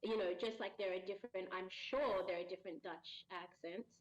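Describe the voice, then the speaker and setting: Woman, 30s, interview guest; mid pitch, thoughtful with lots of fillers, muffled call audio. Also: brisk. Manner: thoughtful, hesitant, many fillers and restarts. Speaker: voice actress guest. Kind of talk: casual interview answers.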